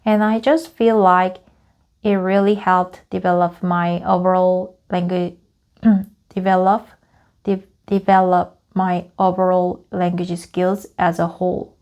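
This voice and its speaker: Slow, gravelly woman reading aloud. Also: lowish voice, little pitch movement, a little mellow. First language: Korean. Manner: halting, repeats phrases and self-corrects. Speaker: English learner. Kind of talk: reading a script aloud for practice.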